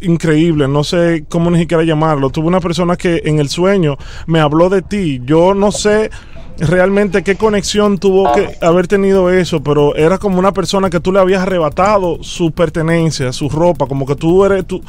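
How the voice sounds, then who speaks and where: Male prank caller, Dominican accent, high voice, dramatic, persistent, imploring delivery. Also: moderate pace, darkish tone. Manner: insistent, pleading, dramatic. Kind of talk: phone prank, improvised pleading conversation.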